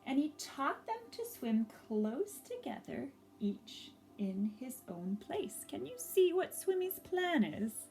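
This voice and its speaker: A woman reading a story aloud for young children, gently and expressively, pausing to ask them questions. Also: high voice, very animated, soft background music.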